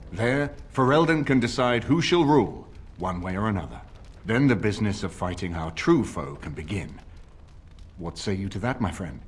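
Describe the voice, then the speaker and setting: An actor playing a grave, middle-aged nobleman in a fantasy game, speaking formally and with measured authority. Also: on the low side, husky. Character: grave older nobleman lord rallying allies against a usurper. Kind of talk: video game voice acting, dramatic dialogue.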